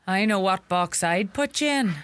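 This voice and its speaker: An actress playing a bossy, sharp-tongued rural mother with a Donegal Irish accent in a radio play. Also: medium pitch, moderate pace, clear, resonant tone, no room sound, close to an everyday voice. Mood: scolding. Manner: scolding, animated, gossipy.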